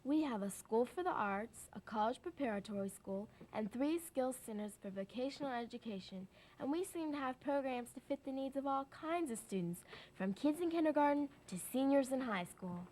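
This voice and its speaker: Fairly high-voiced woman presenting. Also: lively intonation, normal speed, a little mellow, a little hiss or hum, rough recording. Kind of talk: scripted narration.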